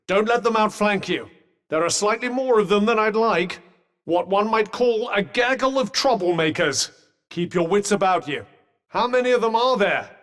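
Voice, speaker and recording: A sardonic, condescending British magical armband from a fantasy video game. The voice sits fairly high and swoops expressively through formal, fussily cautious understatement. A long reverb trails every phrase. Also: male voice, a bit bright. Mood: urgent warning. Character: sentient magical vambrace, wry, haughty, fussily cautious male companion. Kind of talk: video game character dialogue.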